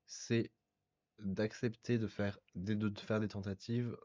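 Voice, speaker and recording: A man's voice: on the low side, little pitch movement, a little slow, mellow, dull audio. A male podcast guest who talks in elaborate, literary phrasing during a casual conversation.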